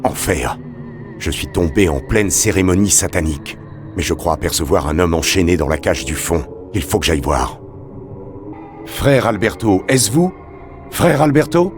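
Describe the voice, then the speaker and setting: Deep-voiced, solemn gothic game hero. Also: male voice, expressive, moderate pace, over music. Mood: alarmed, horrified. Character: grave adventurer hero hunting demons in a dark abbey. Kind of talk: video game voice acting, narrated observations.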